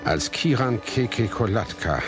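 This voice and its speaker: A man's voice: mid-range voice, lively intonation, slow, over music. An actor playing a reflective grown son, narrating his life in literary style in a German radio play.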